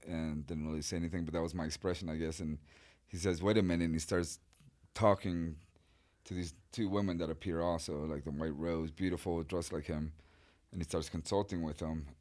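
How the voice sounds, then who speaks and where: A middle-aged man from Chile, a guest artist telling his life story in a relaxed, rambling way with many fillers. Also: low voice, brisk. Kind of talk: casual interview storytelling.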